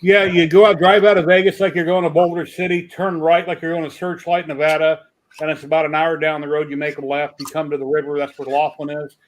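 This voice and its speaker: A man's voice: a little high, quick pace, a little hiss or hum, rough recording. A retired American man around sixty, chatting in an easygoing storytelling way on a group call. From United States. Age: about 60. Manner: chatty, gives directions in a relaxed storytelling way. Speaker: retired maker, chat regular. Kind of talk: casual group chat on a video call.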